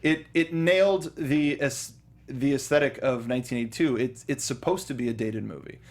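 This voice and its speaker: A man's voice: mid-range voice, moderate pace. A young man co-hosting a casual movie review chat, rambling with many restarts and fillers. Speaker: podcast co-host.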